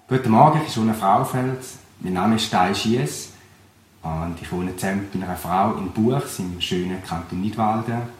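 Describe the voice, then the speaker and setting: Man, Thurgau Swiss German accent, pastor, earnest testimony, lowish voice, roomy sound. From Switzerland. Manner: earnest, reflective, measured. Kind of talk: personal testimony. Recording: roomy recording with faint background noise.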